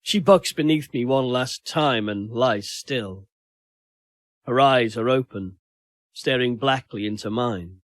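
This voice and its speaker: A man's voice: mid-range voice, slow, no echo. A man narrating first-person fiction as a dramatic audiobook reading.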